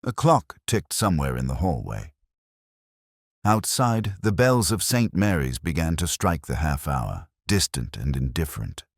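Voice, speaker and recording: A middle-aged man narrating a first-person detective story in a measured, brooding, literary manner. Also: rumbling low, big pitch swings, creaky, no room sound, studio quality.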